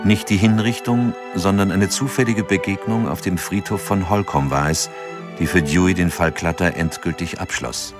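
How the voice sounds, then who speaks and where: An actor playing a narrator in a radio drama, reading scripted narration in a sober, measured and factual way. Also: male voice, low-pitched, over music, natural voice.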